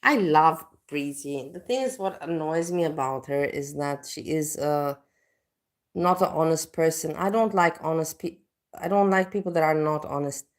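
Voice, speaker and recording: A woman from Indonesia chats loosely on a livestream, circling back through fillers as she talks. Her voice sits a touch low for a woman. She hosts in a relaxed, rambling way. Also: normal speed. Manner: rambling, leans on "you know, like". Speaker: livestream host. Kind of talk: casual livestream chat.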